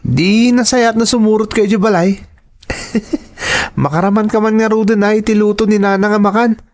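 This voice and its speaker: A man's voice: high-pitched, normal speed, close to an everyday voice. A man playing a friendly, caring young student from a humble home, with an Ilocano Filipino accent, in an audio drama. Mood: friendly, playful.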